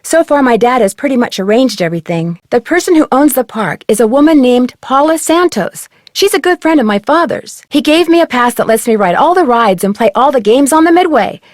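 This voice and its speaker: Curious, high-voiced young girl detective. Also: animated, fairly quick, few pauses, dry recording, studio quality. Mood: friendly, chatty. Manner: articulate, inquisitive, chatty. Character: curious, earnest teenage girl detective on the phone. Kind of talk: scripted video game dialogue, conversational.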